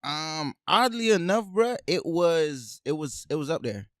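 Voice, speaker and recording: A young man from Haiti co-hosting a casual podcast, an animated storyteller who says "bruh" and "man" a lot. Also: on the high side, a little slow, dry recording, studio quality. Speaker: podcast co-host. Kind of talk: casual chat.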